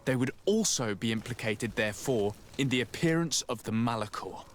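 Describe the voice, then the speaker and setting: Composed mid-pitched male emissary played by a man. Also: animated, moderate pace, slight background noise, natural voice. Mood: formal. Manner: polite, formal, probing. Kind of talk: video game voice acting.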